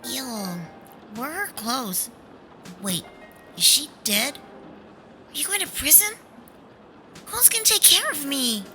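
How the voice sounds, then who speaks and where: A woman's voice: sits high, very animated, slow, long pauses, over music, exaggerated voice. A woman acting as a witty, cheeky six-year-old girl with mock-innocent sass in an audio drama. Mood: mock horror. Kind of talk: amateur radio drama acting.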